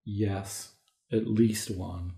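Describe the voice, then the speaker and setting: Guy doing a tired old sun god king, real slow n solemn, mellow, gentle dad in a radio play. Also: on the low side, fairly even intonation, vocal fry, full character voice. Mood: weary, resolved. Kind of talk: dramatic reading like a radio play.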